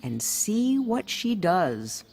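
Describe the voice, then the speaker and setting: A woman playing a suspicious older queen mother in a storybook, with an American accent and a deliberate, instructing manner. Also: lowish voice, big pitch swings, vocal fry, darkish tone, faint music behind, heavily acted. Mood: scheming, instructing. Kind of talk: storybook dialogue.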